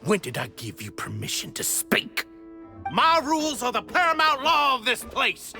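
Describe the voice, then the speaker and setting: An actor playing a furious, cruel tyrant of a gang boss in a video game, theatrical and menacing in delivery. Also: male voice, very high voice, huge pitch swings, normal speed, faint music behind, heavily acted. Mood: screaming rage. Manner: theatrical, menacing, swinging between hushed threats and shrieking.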